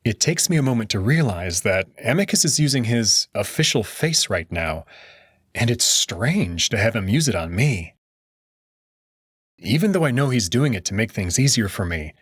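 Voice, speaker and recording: Expressive man narrating a story. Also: fairly low pitch, slightly rough, dry recording, studio quality. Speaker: visual novel reader. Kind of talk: first-person story narration.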